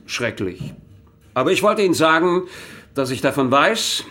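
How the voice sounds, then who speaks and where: An actor playing a well-meaning employer in a German radio drama, speaking in a plain, reassuring way. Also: male voice, a little high, very animated, moderate pace, pushed and bright. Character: frank, well-meaning male employer offering a job.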